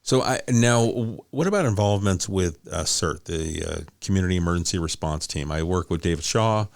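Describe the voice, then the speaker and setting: Male interview host, low voice, really dry recording, chatty and agreeable, explains stuff. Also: expressive, brisk, gravelly, no room sound. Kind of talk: conversational interview.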